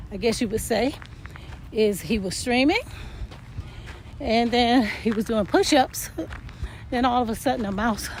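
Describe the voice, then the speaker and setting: An older woman chatting casually while walking uphill outdoors, a bit hoarse and winded, rambling with lots of fillers. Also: on the high side, a little hiss or hum. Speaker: vlogger. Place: outdoors in a park field.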